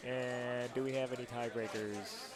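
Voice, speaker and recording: A man's voice: mid-range voice, flat delivery, a little slow. Man explaining pinball over a crowd. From Rochester. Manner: explanatory, rule-focused play-by-play. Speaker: pinball commentator. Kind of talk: live tournament commentary. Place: tournament hall. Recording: noisy crowd in the background.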